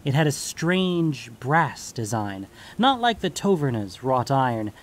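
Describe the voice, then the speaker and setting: A man's voice: mid-range voice, very animated, unhurried. A man reading scripted fantasy fiction as the narrator of an audio story.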